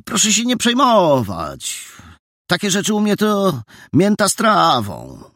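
Man playing a joking street boy, lifted pitch, offhand delivery. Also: huge pitch swings, takes it slowly, fairly bright tone, no echo, clean studio sound, heavily acted. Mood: insistent. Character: cheeky bruised boy who jokes.

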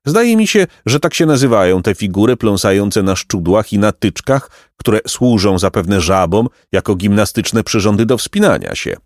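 A man voicing a vain, pompous, aristocratic pelican with a shrill squawking voice for a storybook. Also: big pitch swings, normal speed, hardly any pauses, dry recording, studio quality. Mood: haughty. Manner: pompous, haughty, florid exclamations. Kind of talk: storybook character voice.

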